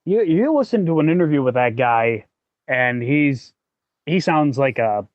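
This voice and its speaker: A man's voice: mid-range voice, lively intonation, measured pace, no room sound, lo-fi sound. A man co-hosting a podcast in a casual discussion, thinking aloud as he goes and swearing now and then. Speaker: podcast co-host.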